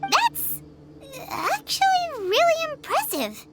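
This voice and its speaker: Tiny chirpy fairy sidekick from a video game, super high squeaky kid voice, bouncy and nosy, all over the place. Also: female voice, wildly swooping pitch, slow pace, fairly bright tone, heavily acted. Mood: impressed. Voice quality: squeaky, childlike. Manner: exclamatory, eager, inquisitive. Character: small childlike floating fairy companion, chirpy and curious. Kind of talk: animated video game voice acting. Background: game music.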